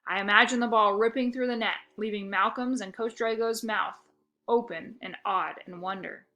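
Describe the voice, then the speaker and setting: A woman narrating a children's book aloud with expressive, first-person storytelling. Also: medium pitch, normal speed.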